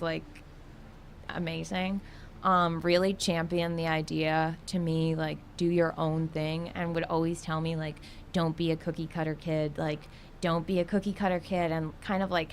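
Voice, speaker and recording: A woman's voice: sits low, fairly even intonation, moderate pace, a little hiss or hum. A young woman from New York City, chatting casually as an interview guest, filling her talk with "like" and laughing easily. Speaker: guest artist.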